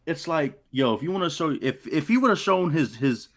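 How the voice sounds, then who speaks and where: A man's voice: a little high, lively intonation, normal speed. A man co-hosting a live sports talk stream, teasing and laughing as he chats. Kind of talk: casual live-stream chat.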